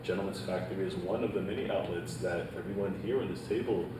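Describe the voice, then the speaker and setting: A man's voice: sits low, fairly even intonation, quick pace. A man from Haiti, speaking earnestly on a panel and peppering his points with "right?" and "um". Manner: earnest, repeats "right?" and "um", leans on data. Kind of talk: panel discussion answer. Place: panel event in a hall. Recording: echoey room, distant mic, background noise.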